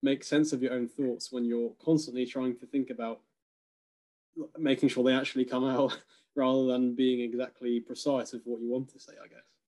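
A man in a casual conversation, thinking aloud with frequent hesitations and soft laughs. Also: medium pitch, flat delivery, moderate pace.

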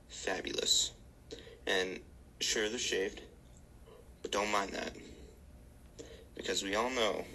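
A man playing a mock-earnest grooming expert in a comic spoof video, half whispering his pitch. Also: gravelly, sharp tone, a little hiss or hum, full character voice, rough recording. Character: man posing as a shaved-chimp grooming expert.

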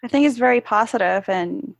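A woman's voice: on the high side, a little fast, creaky, no room sound. A woman from Puerto Rico with a Spanish accent, asking interview questions earnestly and sometimes searching for words. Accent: Puerto Rican Spanish.

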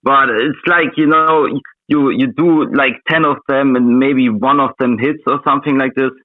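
A man's voice: medium pitch, steady tone, moderate pace, no echo. Phone-like voice of a native Russian-speaking man. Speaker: interview guest, meme coin trader. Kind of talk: casual interview chat. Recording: thin phone-like voice-call audio.

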